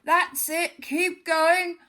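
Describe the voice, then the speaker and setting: A woman's voice: extremely high pitch, level tone, very slow, sharp tone, voice pushed up, full character voice. A woman voicing a bossy but cowardly male lion from a storybook, commanding and encouraging. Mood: urging. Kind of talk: children's storybook character voice.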